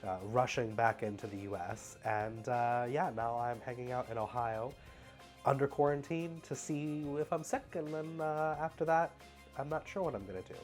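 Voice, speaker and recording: A young man with a stuffy nose, talking casually at home in a rambling, halting way full of fillers. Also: mid-range voice, faint music behind. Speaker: travel vlogger. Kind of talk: casual vlog Q&A.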